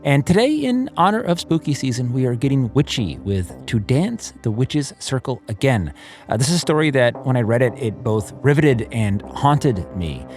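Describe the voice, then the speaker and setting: A man's voice: mid-range voice, a little mellow. A man speaking as host and producer, giving a scripted introduction to a fiction podcast.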